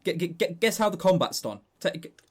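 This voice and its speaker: A man from southern England with a southern English accent and a fairly high voice. He co-hosts a casual gaming news podcast with a very lively, swinging intonation. His manner is sardonic and freely sweary. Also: normal speed, slightly rough. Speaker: podcast co-host.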